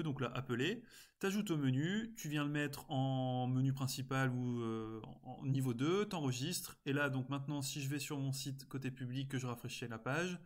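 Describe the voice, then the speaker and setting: A man with a mid-pitched voice walks through a software tutorial. His delivery is informal and instructional, moving step by step and dropping in small interjections as he goes, like someone showing a friend what to do on screen. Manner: casual, step-by-step explaining with frequent interjections. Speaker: tutorial presenter. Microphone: screen-recording voice-over.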